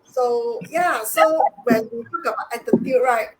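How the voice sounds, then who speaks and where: A woman from Singapore co-hosting a casual live stream, upbeat and emphatic with halting restarts. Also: fairly high pitch, heavy background noise. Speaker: co-host.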